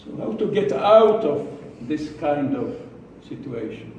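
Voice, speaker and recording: Slow, deliberate man in an echoey room. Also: fairly high pitch, very animated, a little mellow, far from the mic, lo-fi sound, slight background noise. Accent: Israeli. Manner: slow, deliberate, with pauses and repeated words. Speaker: club speaker. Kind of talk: prepared speech. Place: meeting room.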